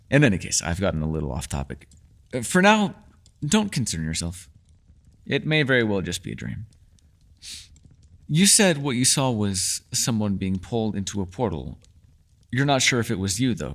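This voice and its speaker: A man playing a mysterious, learned elf in a fantasy ASMR roleplay, talking to the listener in a bookish, rambling and playfully formal way. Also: medium pitch. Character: mysterious, learned male elf, talkative and gently teasing.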